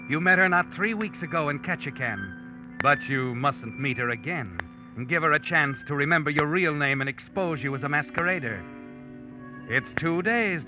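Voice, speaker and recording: A man performing an ominous narrator from 1940s radio suspense, knowing and taunting as he speaks to the guilty man. His voice sits a little high, and dramatic music runs behind him on a dull vintage recording. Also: natural voice. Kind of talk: 1940s radio suspense narration, addressing the protagonist in second person.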